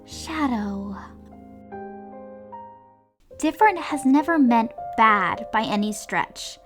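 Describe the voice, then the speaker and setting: A woman voicing a gentle, hopeful young girl in a comic dub, speaking tenderly and earnestly with a wistful manner. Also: on the high side, lively intonation, takes it slowly. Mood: tender, reassuring. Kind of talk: scripted comic dub voice acting. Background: music.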